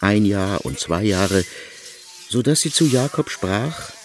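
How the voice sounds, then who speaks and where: A man narrating a literary radio reading in an expressive, measured way. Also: lowish voice, background noise.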